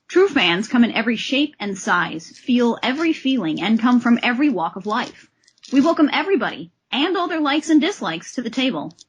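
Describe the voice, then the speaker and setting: A woman co-hosting a comic discussion podcast, expressive and theatrical when she reads aloud. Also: fairly high pitch, dull audio, slight background noise. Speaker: podcast co-host. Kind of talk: comic discussion podcast with dramatic reading of letters.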